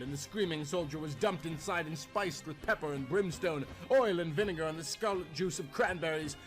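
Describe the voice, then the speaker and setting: A man reading a scripted tale as a theatrical, dramatic storyteller. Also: on the high side. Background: music.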